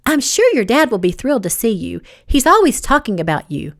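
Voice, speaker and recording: Lady doing a young secretary in an audiobook, fake sweet n polite, quietly defiant, really animated pitch. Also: fairly quick. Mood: guarded, polite. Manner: polite, feigned innocence. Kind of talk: audiobook character dialogue.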